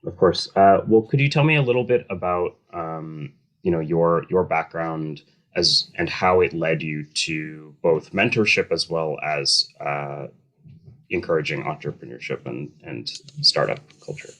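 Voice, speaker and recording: Man, very low voice, host, formal measured introduction. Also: a bit bright. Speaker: host and outreach coordinator. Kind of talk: hosted online interview.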